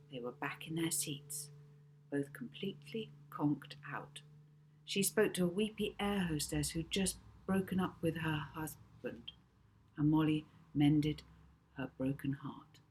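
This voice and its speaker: A woman reading a children's novel aloud as expressive audiobook narration. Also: sits low, measured pace, slight background noise, low-quality recording.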